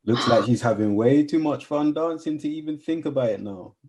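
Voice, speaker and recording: A man's voice: medium pitch, fairly quick, darkish tone, a little hiss or hum, natural voice. A man playing a polite, reassuring young family friend in a naturalistic radio drama, with understated, soothing replies. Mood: considerate.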